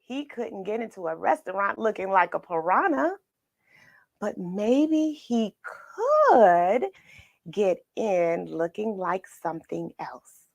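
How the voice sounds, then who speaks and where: A woman reading a children's story aloud, expressive and dramatic, with pauses for effect. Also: medium pitch, gravelly, dry recording. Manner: expressive, dramatic pauses, asks the listener questions. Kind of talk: animated read-aloud storytelling.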